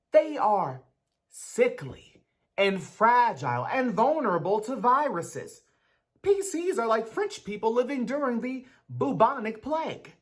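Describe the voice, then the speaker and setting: Lady doing a nerdy know-it-all kid, blunt n formal, takes his time, pitch swooping around. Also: heavily acted. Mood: fussy, fastidious. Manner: pedantic, precise, formal wording. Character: Gordy, brainy pedantic awkward schoolboy, formal and blunt. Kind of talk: dramatic book reading.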